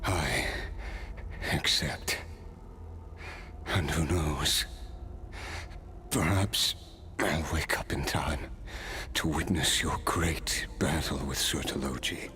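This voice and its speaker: An actor playing a weary, scholarly immortal warrior in a video game, solemn and grandiose, speaking in a measured, deliberate way. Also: male voice, very deep voice, pushed and bright, slight background noise, heavily acted. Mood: solemn acceptance. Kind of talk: dramatic video game acting. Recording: game music behind the voice.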